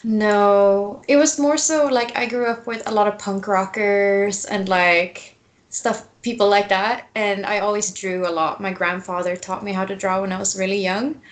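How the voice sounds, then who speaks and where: A woman's voice: medium pitch, moderate pace. Woman in her twenties, laughing easily. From Sweden. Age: 20s. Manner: relaxed, laughs often, many fillers. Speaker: guest, tattoo artist. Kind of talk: casual interview.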